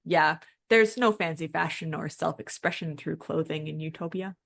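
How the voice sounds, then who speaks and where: Lower-voiced, gravelly woman explaining things informally. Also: normal speed, a bit bright. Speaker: podcast co-host. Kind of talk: conversational explanation and discussion.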